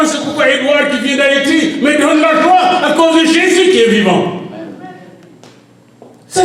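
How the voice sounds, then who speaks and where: A man with a very high voice preaches in a French that is not his mother tongue, his lines climbing into shouted refrains. He sounds far from the microphone, and his voice rings through a big, echoing church hall. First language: an African language. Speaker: pastor. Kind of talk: sermon.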